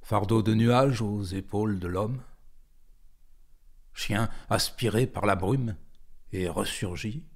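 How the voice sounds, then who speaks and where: A man reciting poetry in a dramatic style, phrasing deliberately with frequent pauses and a husky voice. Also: on the low side. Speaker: poetry performer.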